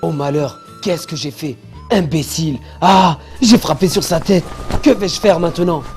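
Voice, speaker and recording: A man voicing a bumbling, cowardly impostor healer in an animated religious story, blustering and easily flustered. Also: fairly high pitch, moderate pace, soft background music, close to an everyday voice. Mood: impatient, panicky. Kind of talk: French-language animated religious story dub.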